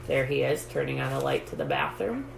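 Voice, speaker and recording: A woman reading a children's book aloud as a storytime host, in a measured storytelling way. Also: rumbling low, wildly swooping pitch, gravelly. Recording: roomy echo, light background noise.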